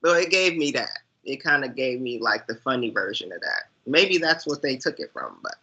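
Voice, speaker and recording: A man's voice: mid-range voice, big pitch swings, moderate pace, sharp tone, husky, lo-fi sound. A man co-hosting a movie recap show, talking casually and comparing films in an informal way. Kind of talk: casual film discussion.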